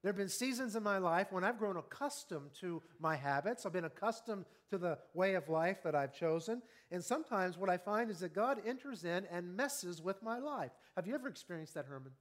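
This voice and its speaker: A man's voice: high-pitched, expressive. Earnest man in his fifties preaching. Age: 50s. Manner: earnest, rhetorical questions, slows to stress key words. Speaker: pastor. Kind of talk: sermon.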